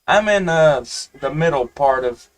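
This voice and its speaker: A man with a Southern US accent chatting casually as a ham radio operator, in a folksy, halting way. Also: on the high side, animated, distant mic, poor audio. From Tennessee, United States. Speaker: amateur radio operator, live streamer.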